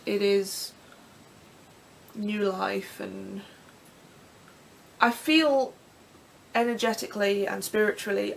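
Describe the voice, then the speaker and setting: A young Englishwoman with a croaky throat, rambling casually through vlog questions from her bedroom with plenty of ums and restarts. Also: medium pitch, slight background noise, low-quality recording. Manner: chatty, self-deprecating, many ums and restarts. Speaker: pagan vlogger. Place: bedroom in front of her altar.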